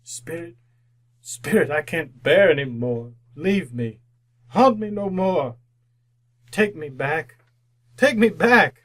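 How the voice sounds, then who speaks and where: An anguished old miser in a radio play, begging in distress. His voice sits fairly high for a man and drags slowly through each plea. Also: lively intonation, fairly bright tone, exaggerated voice. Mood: desperate. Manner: pleading, distraught, drawn-out delivery. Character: anguished old male miser pleading with a spirit. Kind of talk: old-time radio drama acting.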